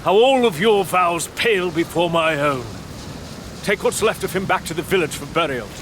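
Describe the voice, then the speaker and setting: An actor playing a proud, ruthless aristocratic lord in a gothic audio drama, speaking in an imperious, declamatory way. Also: male voice, high voice, moderate pace, noisy background, natural voice. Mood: commanding.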